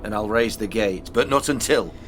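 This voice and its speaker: An actor playing a surly, greedy gate guard in a fantasy audio drama, with a rough, grudging, haggling manner. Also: male voice, fairly low pitch, normal speed, a bit bright, a little hiss or hum, close to an everyday voice. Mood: suspicious.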